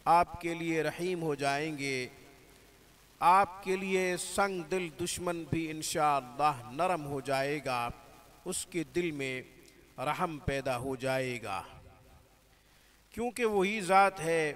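A man gives religious instruction in a slow, measured, devout way, using Arabic and religious phrasing. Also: fairly high pitch, fairly bright tone. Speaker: spiritual healer teaching prayers.

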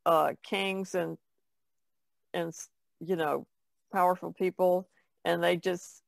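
Woman on a podcast just chatting, lots of vocal fry, kinda tentative, dry room. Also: on the low side, steady tone, slow, no room sound. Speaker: podcast co-host, artist. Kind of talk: casual conversation.

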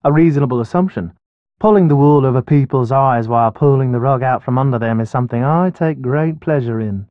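A man's voice: medium pitch, brisk, no room sound, narrow, tinny audio, close to an everyday voice. An actor voicing a flippant, nearsighted, hungry Englishman trapped underground in a video game, with a wry, wordy manner. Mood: dry, flippant. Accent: British. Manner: wry, wordy, posh turns of phrase. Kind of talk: video game character dialogue.

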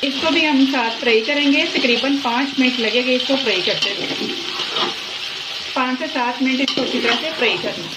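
High-voiced woman narrating cooking over sizzle. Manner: unhurried, repeats each step as she works. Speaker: cooking channel host. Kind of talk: step-by-step cooking instruction. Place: home kitchen, at the stove. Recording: echoey kitchen with sizzling noise. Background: frying and sizzling from the pot.

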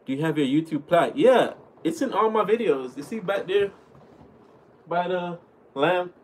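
A man's voice: high-pitched, moderate pace. A young man in his twenties from Jamaica with a Jamaican accent, chatting casually on a livestream and answering viewers from a small room at home. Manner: relaxed, chatty, thanks viewers often. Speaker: livestream host reading chat. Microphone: webcam at desk.

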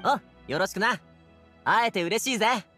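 A man's voice: high voice, moderate pace, pushed and bright, soft background music. A man voicing a friendly, upbeat young man in an anime-style game, talking in a breezy, boyish, informal way. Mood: friendly, bashful.

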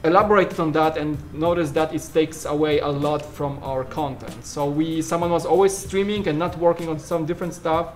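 A man's voice: on the high side, fairly even intonation, a little fast, few pauses, faint music behind. Polish-accented man, joking casual host. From Poland. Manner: joking, trails off mid-sentence. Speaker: channel co-host answering viewer questions. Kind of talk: casual Q&A chat. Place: large studio room full of shelves.

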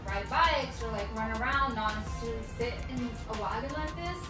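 Young woman, higher voice, casual rambling home-vlog chatter, caught off-mic in a bare echoey room. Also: lively intonation, fairly quick, far from the mic. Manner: chatty, rambling, thinking aloud while working. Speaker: home vlogger. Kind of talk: casual cleaning vlog chat. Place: small laundry room indoors. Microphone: camera across the room. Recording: echoey room, off-mic, loud music bed.

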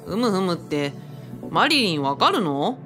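A woman's voice: on the low side, sing-song, unhurried. A woman playing a friendly, easily flustered young man in a radio drama, muttering and exclaiming with a Kyushu Japanese accent. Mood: surprised. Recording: music behind the voice. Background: music.

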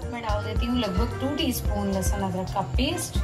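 A woman's voice: medium pitch, expressive, moderate pace, over loud music. A woman narrating a home recipe step by step in a conversational, explanatory way. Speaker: home cooking vlogger and host.

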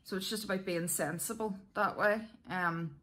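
Female vlogger, Northern Irish, lowish bright voice, candid and chatty. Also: moderate pace. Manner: chatty, candid, self-deprecating. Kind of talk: casual chat to viewers.

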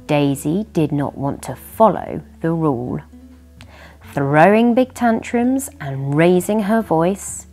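Expressive British woman reading a children's story. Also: deep voice. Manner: animated, addressing young listeners. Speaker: children's story reader and host. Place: furnished living room by a fireplace.

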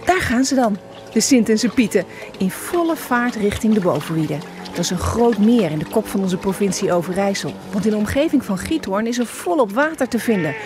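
A woman's voice: mid-range voice, animated, a little fast. A woman narrating a scripted children's story, addressing young listeners playfully.

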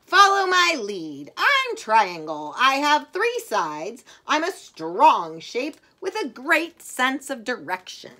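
A woman's voice: high voice, wildly swooping pitch, takes it slowly, few pauses, pushed and bright, heavily acted. A woman voicing a bossy triangle who directs a space show in a children's storybook, firm and commanding.

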